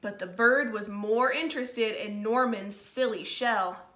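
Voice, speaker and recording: Lady teacher doing a kids story read aloud, high bright voice, bit echoey room. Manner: slow, expressive storytelling with informal asides to pupils. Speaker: teacher reading to pupils. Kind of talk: read-aloud of a picture book for children. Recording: roomy, echoey sound.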